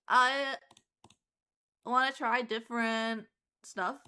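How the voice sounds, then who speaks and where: A woman streamer chats while she draws, her voice hoarse and worn out, yet still bright and a little high. She talks slowly and rambles, stopping and restarting her sentences and filling the gaps with ums. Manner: rambling with many fillers and restarts. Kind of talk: casual chat while drawing on stream.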